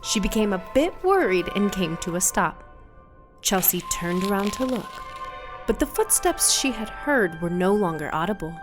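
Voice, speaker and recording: A woman's voice: mid-range voice, big pitch swings, normal speed, over music. A woman reading a scripted suspense story as its narrator.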